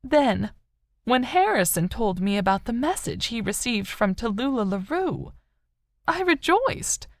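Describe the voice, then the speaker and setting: A woman playing a soft, high-voiced grieving older mother. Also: very animated, a little slow, dry recording, studio quality. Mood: desperate hope. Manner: soft, sorrowful, tender. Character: grieving older mother, wistful, haunted, still hopeful.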